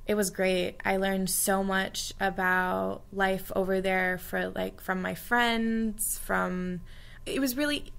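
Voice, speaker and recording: A woman's voice: mid-range voice, fairly even intonation, slow pace, gravelly, a bit bright. A young woman from the United States, a study abroad alumna, giving earnest, heartfelt reflections in an interview, with frequent fillers. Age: young adult.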